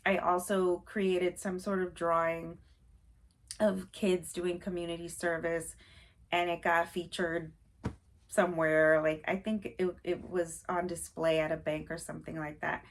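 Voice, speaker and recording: A woman's voice: lowish voice, little pitch movement, a little slow. A young woman from Haiti, chatting casually to camera in her first vlog, a little nervous and full of fillers. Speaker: vlogger.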